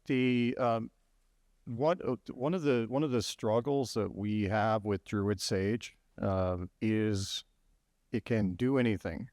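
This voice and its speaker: Slow, halting man on a panel. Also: lowish voice, darkish tone, no room sound, studio quality. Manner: halting, many ums and restarts. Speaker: panelist, AI tool developer. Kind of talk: conference panel answer.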